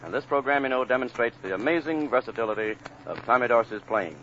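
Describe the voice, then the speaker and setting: A man's voice: medium pitch, fast talker, a bit bright, a little hiss or hum. A man announcing a big band programme in 1940s radio style, on an old broadcast recording. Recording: dull vintage 1940 radio broadcast recording.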